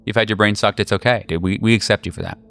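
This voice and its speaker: A man running a tabletop horror game as game master, narrating casually in a halting, slangy, jokey way. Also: lowish voice, fairly even intonation, creaky, a bit bright, smooth.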